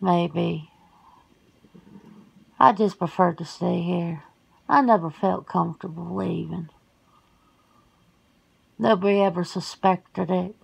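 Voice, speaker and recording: A woman voicing a folksy, blunt, centuries-old werewolf homebody in an ASMR roleplay, suspicious and quick to exclaim. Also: fairly low pitch, vocal fry, a little mellow, breathy edge, exaggerated voice, low-quality recording. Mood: indignant, sassy.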